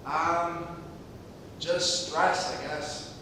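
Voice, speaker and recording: A man's voice: fairly high pitch, fairly even intonation, very slow, bright, forceful tone, heavily acted. Man acting a frazzled loner in an echoey hall. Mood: edgy, evasive. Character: frazzled, irritable, lonely man waiting at a bus stop. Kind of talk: live stage comedy acting. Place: theatre stage, recorded from far off. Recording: distant, echoey hall, clearly noisy.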